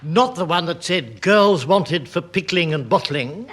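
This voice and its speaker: A man's voice: on the high side, lively intonation, measured pace, fairly bright tone, husky, full character voice. A man playing a timid, fussy junior civil servant, hesitant and plaintive, with an English accent in a British radio sitcom. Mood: concerned.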